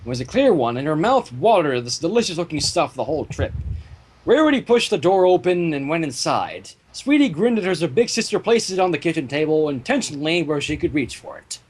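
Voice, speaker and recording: A man narrates a piece of fan fiction in an animated way. His voice sits high for a man and is rough-edged and husky, with lively swings in pitch. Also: brisk.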